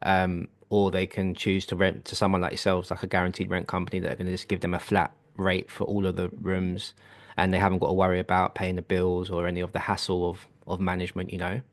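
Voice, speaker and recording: Low-voiced man coaching on a call. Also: quick pace, no room sound. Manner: relaxed, reassuring, steady explaining. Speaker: property business coach.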